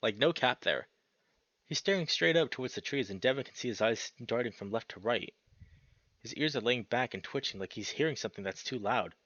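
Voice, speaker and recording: A man reading a visual novel aloud as expressive narration, breaking off into casual, sweary, slangy reactions. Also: mid-range voice, fairly quick, no room sound, highs cut off. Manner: animated, sweary, slangy interjections.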